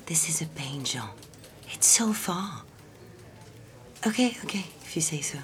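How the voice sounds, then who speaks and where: An actress playing a polite young office secretary in an audio drama, who also narrates in the first person. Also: female voice, low voice, lively intonation, measured pace. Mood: polite, slightly flustered. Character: polite, fond French office secretary, engaged young woman. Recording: music behind the voice.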